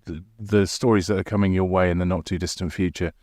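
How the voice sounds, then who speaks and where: A man's voice: low voice, steady tone, quick pace, a little mellow, dry recording, clean studio sound. A man hosting a podcast, speaking to listeners as its writer and presenter. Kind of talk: podcast presenting, credits and informal asides.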